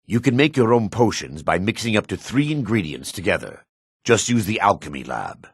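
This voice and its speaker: Husky, low-voiced gruff dark elf. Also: male voice, very animated, normal speed, dry recording, studio quality, exaggerated voice. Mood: instructive, firm. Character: gruff male dark elf townsman and warrior. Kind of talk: video game character voice lines.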